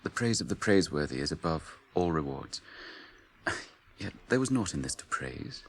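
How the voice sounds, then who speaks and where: An actor in a radio drama playing a noble, grave captain, proud yet merciful, with formal, archaic, theatrical delivery. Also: male voice, deep voice, sing-song, moderate pace, heavily acted. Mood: calm, humble. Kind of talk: 1980s radio drama acting. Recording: radio drama with clear background noise.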